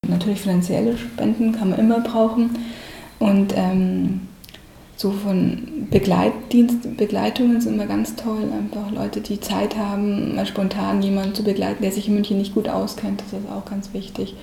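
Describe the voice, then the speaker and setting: A woman's voice: mid-range voice, level tone, moderate pace, creaky, dark tone. A woman social worker explaining things informally in spontaneous interview answers. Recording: echoey room, faint background noise.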